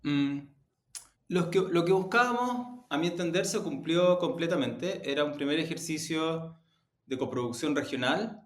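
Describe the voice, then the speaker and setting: A man giving measured, reflective answers as an interviewed executive. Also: a little high, animated. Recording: roomy, echoing room.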